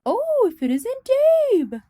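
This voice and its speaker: A woman acts out a sociable, gracious lady who runs a charity home. Her voice is high and soft-toned, moving animatedly through polite, effusive phrases. It is radio drama with music underneath. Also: huge pitch swings, slow pace, heavily acted. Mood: cheerful greeting.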